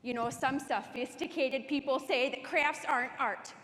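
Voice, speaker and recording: A woman's voice: high voice, fairly even intonation, normal speed. A young woman playing a cheerful, prim middle-aged crafter in a dramatic monologue, in an echoey hall. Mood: chirpy. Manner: bright, folksy, cheerfully prim. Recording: echoey hall, faint noise.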